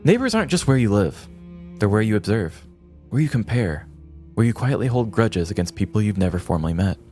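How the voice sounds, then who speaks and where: A man with a lowish voice co-hosts a podcast. He chats loosely and reads out a personal essay with dry, wry humor. Faint music sits under his voice. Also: sing-song, brisk, darkish tone. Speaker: podcast co-host. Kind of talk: casual chat and read-aloud essay.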